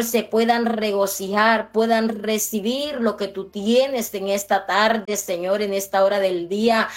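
Fervent slow-praying woman. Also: mid-range voice. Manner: fervent, slow, repetitive invocations. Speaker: radio prayer leader.

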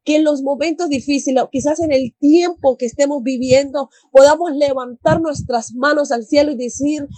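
A woman's voice: sits high, moderate pace, few pauses, dark tone, dry recording. A woman giving a devotional personal testimony in an earnest, exhorting manner. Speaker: church member giving a testimony.

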